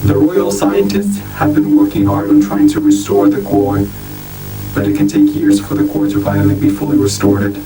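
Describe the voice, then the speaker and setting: A man playing a grim monster news anchor, reading a scripted catastrophe bulletin in a solemn, deliberate way. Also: fairly low pitch, completely flat delivery, close to an everyday voice. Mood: grave, formal. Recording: distant, roomy, music underneath.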